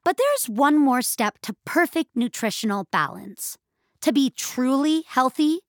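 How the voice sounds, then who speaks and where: A woman's voice: on the high side, fairly bright tone, dry recording, clean studio sound. A woman voicing a cheery, coaxing, encouraging fitness app assistant in an audio drama. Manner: perky, encouraging, scripted.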